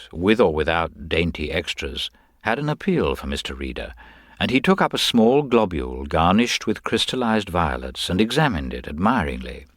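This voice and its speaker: Deep-voiced male storyteller, expressive intonation. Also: no echo, studio quality. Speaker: narrator. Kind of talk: scripted literary narration of a detective story.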